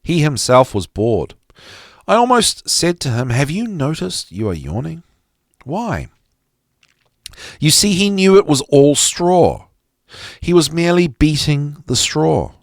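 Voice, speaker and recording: Man reading aloud with very lively pitch swings. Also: no room sound, clean studio sound. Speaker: podcast host reading aloud from a psychology text. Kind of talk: expressive reading of a psychology lecture.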